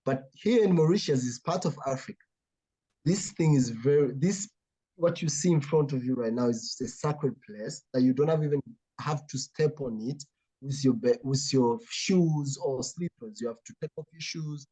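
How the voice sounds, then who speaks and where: Earnest man, mellow voice. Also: mid-range voice, normal speed, husky, rough recording. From Tanzania. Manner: earnest, searching for words with frequent fillers. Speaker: artist guest. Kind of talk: artist talk, reflective explanation.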